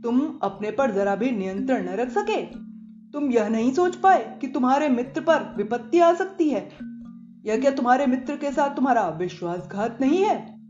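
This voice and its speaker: A woman's voice: a little high, animated, a little mellow. A woman voicing a sensible, wronged male elephant who lectures his friend sternly in a children's story. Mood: stern, reproachful. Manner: deliberate, admonishing, lecturing. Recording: muffled echoey recording under music.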